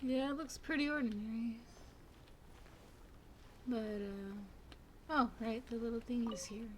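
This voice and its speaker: Soft-spoken young woman, unhurried, fairly high voice. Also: steady tone, slight background noise, poor audio. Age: 20s. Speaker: gaming video creator. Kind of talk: casual let's play commentary. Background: game music.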